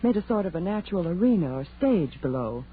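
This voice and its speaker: A woman's voice: lowish voice, big pitch swings, fast talker. An actress playing a sensible young woman with a precise, explanatory manner in an old-time radio drama. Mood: instructive. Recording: tinny old radio recording with hiss.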